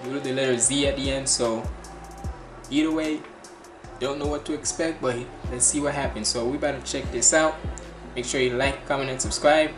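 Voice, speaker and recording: Young Jamaican man hosting a casual reaction stream on webcam, quick slangy delivery, roomy bedroom sound, music in background. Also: medium pitch. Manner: fast, animated, slangy chatter with frequent restarts. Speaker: reaction channel host. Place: small bedroom indoors. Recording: echoey room with music playing.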